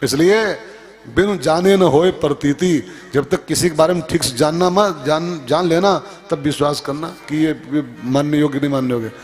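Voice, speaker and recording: High-pitched male preacher. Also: normal speed, darkish tone. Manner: emphatic, repeats phrases, asks rhetorical questions. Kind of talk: musical scripture discourse with moral teaching.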